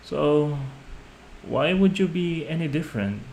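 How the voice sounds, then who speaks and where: A man playing a young mafia heir in an audio roleplay, measured and polite on the surface, condescending and manipulative underneath. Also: on the high side, expressive, vocal fry, a little mellow. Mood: suspicious, accusing. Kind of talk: scripted audio roleplay addressed to the listener. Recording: roomy echo, some background noise.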